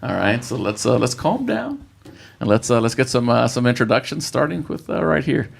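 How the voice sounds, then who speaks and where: A man's voice: mid-range voice, very animated, moderate pace, gravelly, a little hiss or hum. A man hosting a casual sports podcast as a football coach, upbeat, with many "uh" fillers and repeated catchphrases. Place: indoor room with children present.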